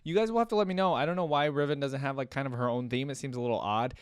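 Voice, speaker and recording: A man's voice: medium pitch, very fast, clear, resonant tone. A man giving casual, informal reaction commentary as a video host, thinking aloud with plenty of filler words. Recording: music playing behind the voice.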